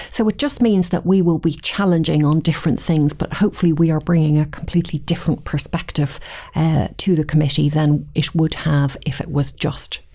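Mellow-voiced Irish woman, measured interview answers. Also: low-pitched. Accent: Irish, Dublin. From Ireland. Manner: measured, thoughtful, occasional ums and restarts. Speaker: guest, committee chair. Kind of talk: podcast interview.

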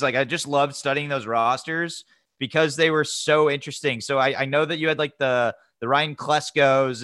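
A man's voice: medium pitch, bright, forceful tone, clear, resonant tone, no echo, studio quality. A man co-hosting a sports podcast in casual chat, rambling and hesitant, with many fillers and repeats. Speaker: podcast co-host.

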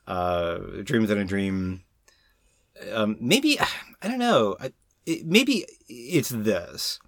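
A man in casual conversation about films, with a very lively, swinging pitch. He feels his way through opinions haltingly, restarting phrases as he goes. The sound is close and dry. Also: dry recording. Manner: halting, mulling, with repeated false starts. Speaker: podcast co-host. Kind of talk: casual film discussion.

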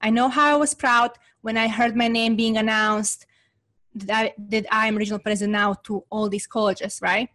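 A Serbian-accented woman speaking in a somewhat high voice. She is a guest on an interview, telling her own experience in an earnest, motivational way and checking in with "right?" often. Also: fairly even intonation, moderate pace, fairly bright tone. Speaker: guest entrepreneur.

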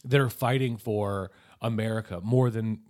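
A man co-hosting a casual recap podcast, animated and jokey, often acting out characters' lines in playful voices. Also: on the low side, moderate pace. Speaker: podcast co-host.

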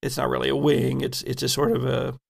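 A man narrating a tabletop game as its game master, telling the scene in a halting, descriptive way. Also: mid-range voice, little pitch movement, creaky.

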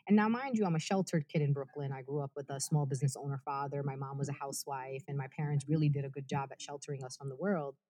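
A woman's voice: extremely low pitch, dark tone. A woman, speaking earnestly and passionately as an activist in an interview. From United States. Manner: earnest, passionate, fast and emphatic. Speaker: activist guest. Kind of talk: interview conversation.

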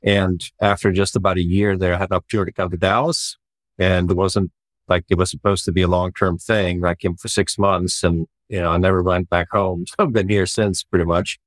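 Deep-voiced man, relaxed founder. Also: a little fast, dry recording. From Sweden. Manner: relaxed and reflective, with frequent "you know" fillers and light laughs. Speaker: startup founder and CEO. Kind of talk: casual interview answers.

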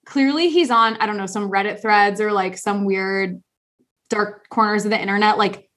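A woman hosting a casual podcast chat, talking in an animated, rambling way with many restarts. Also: medium pitch, normal speed. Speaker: podcast host.

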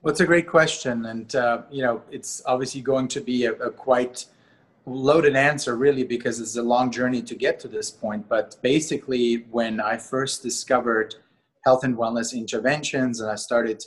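A middle-aged man from Austria speaks as a guest on a talk show. His voice is husky and his pitch is ordinary for a man. He talks in a measured, unhurried way and pauses often on small fillers. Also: fairly even intonation. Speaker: guest, company co-CEO. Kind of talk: interview conversation.

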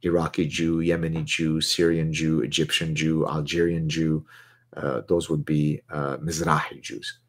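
Deep-voiced guy doing a live q&a, chatty and a bit boastful. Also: little pitch movement, a little slow. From Lebanon. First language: Arabic. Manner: chatty, boastful, reads out chat questions. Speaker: host answering viewer questions. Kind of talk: live Q&A chat.

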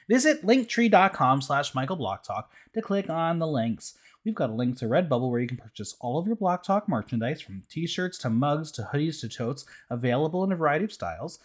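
A man's voice: on the high side, a little fast, hardly any pauses. A man hosting a podcast, chatting casually and joking with his guest. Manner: playful, jokey, animated. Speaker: podcast host. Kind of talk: casual interview chat.

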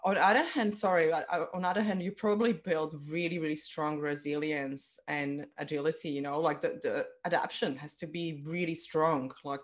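A woman's voice: low-pitched, fairly even intonation, moderate pace, hardly any pauses. A woman hosting a casual interview in an encouraging, affirming way, with non-native English phrasing. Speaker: interviewer, host.

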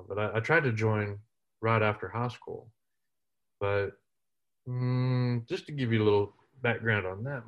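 Man in his thirties, humorous storyteller, low voice, muffled recording. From West Texas. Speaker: interview guest, military veteran. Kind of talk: storytelling in casual interview.

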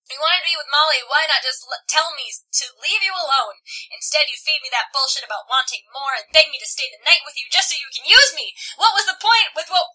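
A woman's voice: sits high, very animated, brisk, sharp tone, voice pushed up, heavily acted, low-quality recording. A woman reading aloud as an angry, hurt college girl, indignant and dramatic, having it out with her boyfriend. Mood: hurt, outraged.

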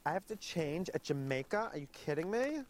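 A man's voice: very animated, brisk. A man playing a whiny, exasperated young Long Island woman in a comedy sketch, with faint noise behind. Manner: whiny, exasperated, shrill outbursts.

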